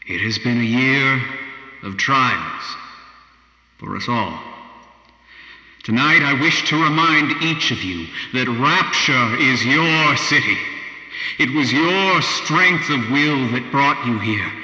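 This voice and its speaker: A man playing a proud, unyielding city founder in a radio drama, speaking in a deliberate, oratorical manner. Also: mid-range voice, expressive, bright, forceful tone, breathy edge, exaggerated voice, rough recording. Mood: solemn, grand.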